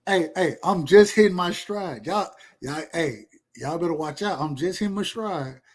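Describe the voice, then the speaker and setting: Southern guy in his 30s, kinda high voice, slangy cussing podcast chat, says stuff twice for emphasis. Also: animated, normal speed. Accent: Southern US, Georgia. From rural Georgia, USA. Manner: emphatic, repeats phrases, slangy and profane. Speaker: podcast speaker. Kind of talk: casual chat.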